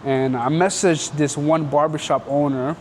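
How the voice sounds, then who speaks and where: Young man from Canada, loose and slangy storyteller, slightly high voice, faint background noise. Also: steady tone, measured pace, a little mellow. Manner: rambling and slangy, full of filler words. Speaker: entrepreneur telling his story. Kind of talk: casual storytelling interview.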